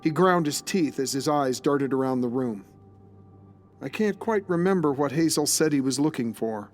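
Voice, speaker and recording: A man's voice: mid-range voice, expressive, moderate pace, soft background music. A man narrating a scripted dramatic story as its narrator.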